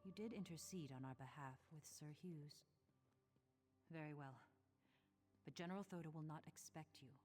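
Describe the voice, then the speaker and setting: A woman's voice: low-pitched, normal speed, pauses often, darkish tone, natural voice. A woman voicing a worried noble duchess. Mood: earnest. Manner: formal, earnest.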